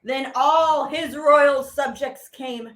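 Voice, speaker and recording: A woman teaching artist hosting a program, reading children's poems aloud in a playful, theatrical way with drawn-out words. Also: sits high, fairly even intonation, fairly bright tone.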